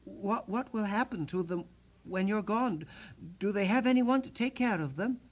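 A man voicing a gentle, soft-hearted young prince in a storybook reading, speaking earnestly and hesitantly. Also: sits high, normal speed, voice pushed up. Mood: tender concern.

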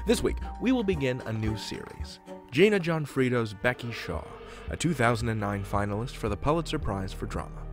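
A man hosting a podcast, reading a scripted introduction to an episode. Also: a little high. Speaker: podcast host. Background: music.

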